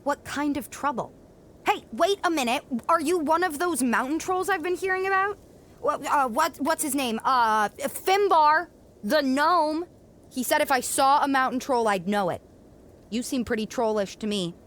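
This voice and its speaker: A woman's voice: high voice, lively intonation, moderate pace, fairly bright tone. A woman playing a determined, kind young female mountain climber, plucky and inquisitive, in a family audio drama. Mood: curious.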